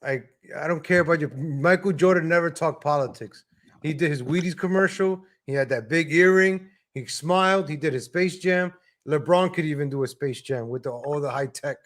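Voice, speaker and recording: Slightly high-voiced New man. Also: normal speed, a bit bright. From born in Ecuador, grew up in New York. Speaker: caller. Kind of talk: casual chat.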